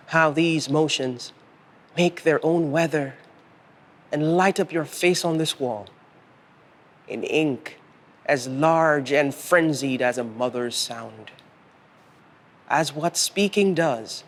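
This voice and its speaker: A man reading poetry in a deliberate, solemn manner, pausing between short phrases. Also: on the high side. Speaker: poet reading own work. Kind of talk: measured literary poetry reading.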